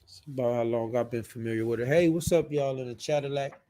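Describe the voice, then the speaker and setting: A man's voice: medium pitch, fast talker, dark tone. A man hosting a live stream, chatting casually and informally with his viewers, with trailing asides. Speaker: live stream show host.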